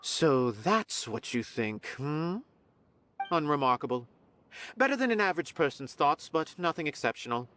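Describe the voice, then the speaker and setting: A haughty, mysterious theater watcher. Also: male voice, fairly high pitch, big pitch swings, sharp tone, slight background noise. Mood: musing. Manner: slow, musing, haughty. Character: aloof, mysterious theater observer, condescending. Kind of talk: game voice acting.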